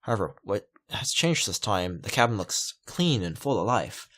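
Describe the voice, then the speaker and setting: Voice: deep voice, big pitch swings, moderate pace, a bit bright. A let's player reading a visual novel aloud dramatically with casual asides, stumbling over words and correcting themselves.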